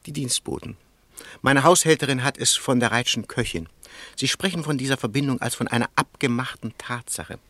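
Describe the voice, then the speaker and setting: A man's voice: mid-range voice, a little fast, sharp tone, no echo. An actor playing a scornful, calculating bourgeois businessman in a German radio play, with a sardonic, articulate delivery. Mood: insinuating.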